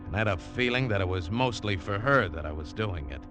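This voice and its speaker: Guy playing tough world-weary adventurer narrating old time radio show, lowish voice, music behind him. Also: expressive, brisk, close to an everyday voice. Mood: hard-boiled, brooding. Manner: clipped, world-weary, wry. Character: tough, wary American adventurer narrating his own story. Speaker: lead actor and first-person narrator. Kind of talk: 1940s radio adventure drama acting and hard-boiled narration. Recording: 1940s radio recording, music underneath.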